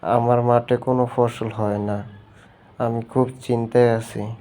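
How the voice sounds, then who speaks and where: A poor, simple-hearted village farmer from a folk tale played by a male storyteller with a Bangladeshi accent. He speaks earnestly, slowly and in a monotone. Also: mid-range voice, lightly acted. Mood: worried. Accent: Bangladeshi Bengali.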